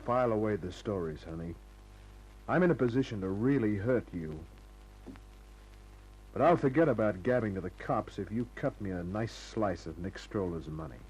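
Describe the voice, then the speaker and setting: Cynical tough private eye, lowish husky voice, clipped slangy old radio crime drama style. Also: male voice, lively intonation, normal speed, a little mellow, rough recording. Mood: tough, pressing. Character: hard-boiled, cynical male private detective narrating his case.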